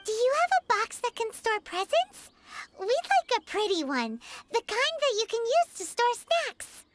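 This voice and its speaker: A tiny video game fairy girl with an extremely high, squeaky voice. She is bubbly, greedy and excitable, her pitch bouncing all over, with loud game sound behind her. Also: unhurried, heavy background noise, full character voice. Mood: eager. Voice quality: squeaky, childlike. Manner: bubbly, speaks of herself in third person.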